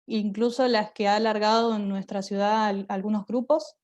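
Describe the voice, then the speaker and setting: A woman's voice: mid-range voice, fairly even intonation, normal speed, a little mellow. Young Argentine woman, reflective and enthusiastic. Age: young adult. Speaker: radio theatre performer and drama teacher. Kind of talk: conversational panel interview.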